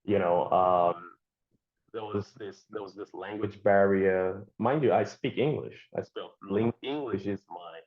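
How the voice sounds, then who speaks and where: A Nigerian man with a very low voice speaks as an interview guest. He pauses often, repeats himself and fills gaps with ums. The sound is dull and lo-fi. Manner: halting, many fillers and repeated words. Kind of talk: conversational interview answers.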